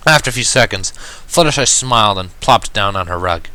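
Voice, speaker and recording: A man giving an expressive amateur narration of a fan fiction story. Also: lowish voice, a little fast.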